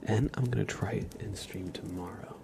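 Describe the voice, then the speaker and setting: A man hosting a casual ASMR livestream, speaking softly and informally, often slipping into a breathy whisper. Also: lowish voice, moderate pace, noisy background. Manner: relaxed, informal, uses y'all. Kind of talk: casual ASMR stream chat.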